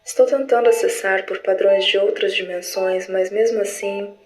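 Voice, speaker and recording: A woman's voice: medium pitch, steady tone, normal speed, roomy sound, lightly acted, poor audio. A woman playing a dutiful, clinical telepathic assistant, reporting formally to a superior in an audio drama. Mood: strained.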